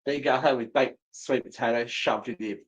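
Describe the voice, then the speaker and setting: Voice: mid-range voice, steady tone, a little fast. A co-host chatting casually on a livestream, cheeky and full of joking interjections. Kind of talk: bantering casual chat on a livestream.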